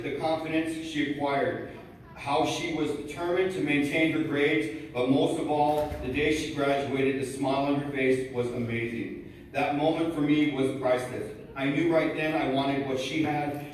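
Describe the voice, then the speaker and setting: A man giving a nervous prepared speech as a student at a college gala, hesitant with many fillers and reading from notes. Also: a little high. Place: large event hall. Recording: echoey hall from far off, faint noise.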